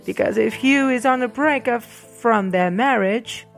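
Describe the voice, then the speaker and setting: A woman's voice: a little high, a little slow, gravelly. A woman whose native language is Portuguese, hosting a podcast and reading book recommendations aloud in a hesitant way, with fillers and restarts. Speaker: podcast host. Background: soft music.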